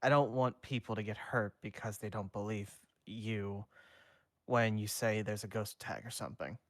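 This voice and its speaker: A man's voice: lowish voice, moderate pace, a bit bright, no room sound, studio quality. A man voicing a weary, earnest teenage boy who fights ghosts while reading a story aloud. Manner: reads dialogue in his own voice.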